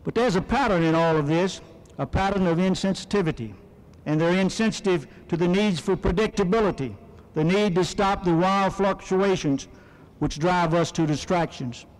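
A man's voice: high voice, normal speed. A man with an American Midwestern accent giving a folksy, wry political speech at a convention, on an old archival recording. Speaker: convention speaker. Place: large convention hall. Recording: 1970s archival recording in a large hall.

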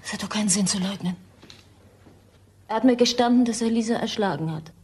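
Scheming, fearful mistress. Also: female voice, medium pitch, a little fast, darkish tone, breathy edge. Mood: betraying. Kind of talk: crime radio drama acting.

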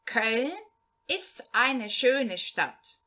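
Female teacher reading a male learner-text character; slow, lively, bright. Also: a little high, heavily acted. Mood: plain. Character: man introducing himself in a beginner text. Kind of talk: read-aloud language lesson.

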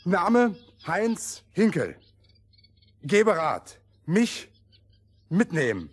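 An actor playing an eager, simple fairy-tale runner who talks in clipped, broken sentences with a husky voice. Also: male voice, sits high, big pitch swings, very slow, full character voice. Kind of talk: children's fairy-tale audio play.

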